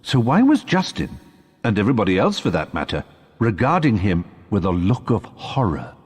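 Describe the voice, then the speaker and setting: A man narrating a wizard tale as a storyteller in a video game, with a dramatic scripted delivery and a husky voice. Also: lowish voice, huge pitch swings, moderate pace, in a large hall, exaggerated voice. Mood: suspenseful narration.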